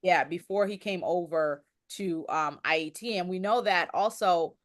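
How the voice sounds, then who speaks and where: Woman on a podcast explaining history, voice a bit low, halting, keeps rewording stuff. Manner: halting, circles back and rephrases. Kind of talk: conversational explanation.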